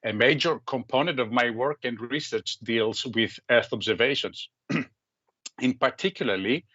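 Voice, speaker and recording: A man's voice: medium pitch, a bit bright, dry recording. A man from Greece giving an earnest, prepared self-introduction as a researcher over a video call. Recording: dull lo-fi sound.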